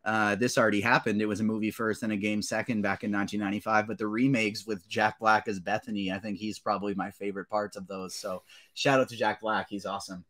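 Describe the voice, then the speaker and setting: A man's voice: lowish voice, flat delivery, quick pace, a bit bright. A man hosting a podcast in a casual, friendly and encouraging way. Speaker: podcast host. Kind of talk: casual chat.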